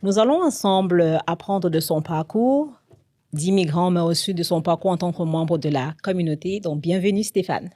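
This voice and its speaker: A woman's voice: fairly low pitch, moderate pace, mellow, no room sound. A woman hosting a podcast, putting her guest at ease in a friendly, reassuring way. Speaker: podcast host. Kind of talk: casual interview.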